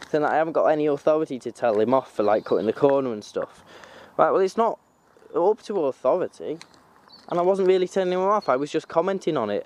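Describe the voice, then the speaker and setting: A man's voice: mid-range voice, darkish tone. A young man from North Wales with a slight Manchester accent, chatting casually in a vlog, rambling and correcting himself as he goes. He is recording outdoors on a handheld camera. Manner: rambling, self-correcting, informal. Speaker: vlogger.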